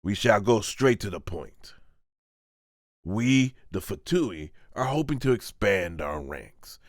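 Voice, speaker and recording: A man's voice: lowish voice, animated, takes it slowly, no echo, clean studio sound. A man voicing an oily, scheming diplomat in a fantasy manga dub, formal and insistent. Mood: pompous. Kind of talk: dramatic manga voice-over.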